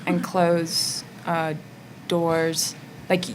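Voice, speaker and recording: A woman's voice: lowish voice, monotone, extremely slow. A woman speaking as an actress in a casual discussion, reflective and hesitant, with many little filler phrases. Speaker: actress on a panel. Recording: noisy live panel room.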